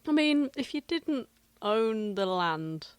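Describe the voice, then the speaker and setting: A woman's voice: on the high side, big pitch swings, slow, clear, resonant tone, dry recording. Wry woman in casual podcast banter. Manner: wry, jokey. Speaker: podcast co-host. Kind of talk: casual comedic chat.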